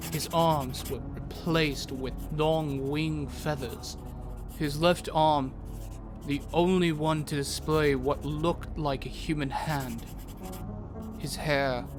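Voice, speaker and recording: A man reading scripted narration for a fantasy audio story. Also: medium pitch. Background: music.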